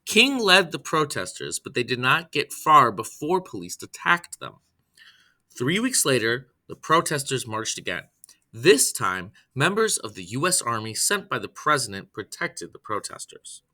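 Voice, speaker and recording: A man reading a children's biography aloud from a script, phrase by phrase, in a deliberate way. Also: medium pitch, sing-song, pushed and bright. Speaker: reader, storyteller.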